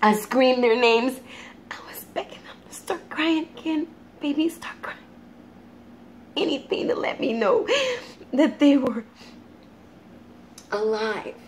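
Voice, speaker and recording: A woman performing a dramatic monologue as a grieving, unhinged mother tormented by guilt, halting and anguished. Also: high-pitched, a little hiss or hum.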